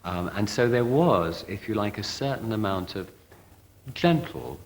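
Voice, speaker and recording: A man's voice: fairly low pitch, animated, a little slow, slight background noise, low-quality recording. A man talking reflectively in an interview, hesitating as he chooses his words carefully.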